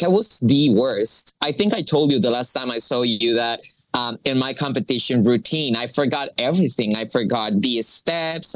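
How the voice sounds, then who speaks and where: A woman, a coffee professional talking as an interview guest, halting and searching for her words with frequent restarts. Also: rumbling low, no room sound, poor audio. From Colombia. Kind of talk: interview conversation.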